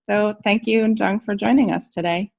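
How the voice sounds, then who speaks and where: A woman's voice: medium pitch, fairly even intonation, moderate pace, creaky, darkish tone, dry recording. A woman hosting a casual interview, upbeat, laughing often and giving short encouraging replies. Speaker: school co-founder and interview host. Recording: dull, dry lo-fi sound.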